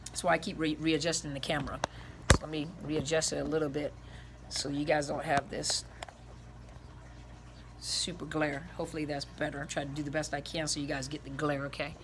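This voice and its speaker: A woman counselor with a raspy voice gives informal advice to viewers, rambling earnestly and restarting her phrases, recorded indoors on a camera set on the floor. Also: deep voice, level tone, a little fast, noisy background. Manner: gestures with her hands as she talks.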